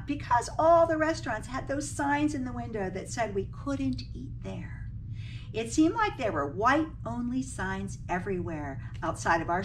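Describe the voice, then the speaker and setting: A middle-aged woman teacher reading a picture book aloud, adding instructive explanations as she goes. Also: medium pitch. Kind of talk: read-aloud storytelling with explanatory asides to pupils. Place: small indoor classroom or office beside a screen. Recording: distant mic, clearly noisy room.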